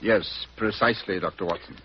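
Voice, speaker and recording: A man playing a grave British naval official in a radio drama, speaking formally and in measured phrases. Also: on the low side, husky. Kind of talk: old-time radio drama acting. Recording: dull, faintly noisy old radio recording.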